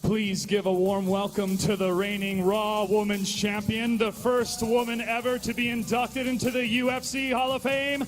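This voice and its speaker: A man's voice: high-pitched, little pitch movement, normal speed, hardly any pauses. A man hosting a live event, announcing and interviewing in a showy, formal presenter's delivery. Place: large hall on stage. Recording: echoey hall, long reverb, faint music.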